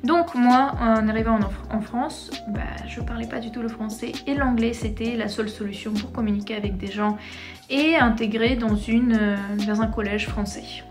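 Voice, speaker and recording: A woman in her twenties from Crimea, whose first language is Crimean Tatar, telling her own story to camera in a lively, playful way. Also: a little high, moderate pace, over music. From Crimea, Ukraine. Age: 20s. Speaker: vlogger.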